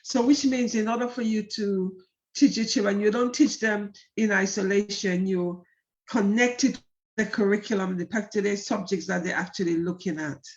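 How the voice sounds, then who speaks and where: A woman's voice: medium pitch, steady tone, moderate pace, a little mellow, dull audio. A woman hosting an online show for educators, welcoming her guest with emphatic repetition. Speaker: host.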